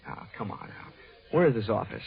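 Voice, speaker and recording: An actor playing a wisecracking hardboiled private investigator in 1940s radio style, delivering dry, wry, clipped quips. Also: male voice, medium pitch, lively intonation, a little fast, close to an everyday voice. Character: wisecracking hardboiled male private investigator narrating his case. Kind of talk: 1940s radio detective acting. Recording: narrow, tinny 1940s radio recording with music.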